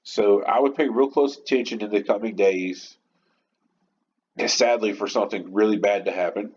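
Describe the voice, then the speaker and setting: A man's voice: lowish voice, moderate pace. A man hosting a podcast, giving a casual, rambling, opinionated monologue in an informal way. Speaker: podcast host. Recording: muffled lo-fi home recording, background noise.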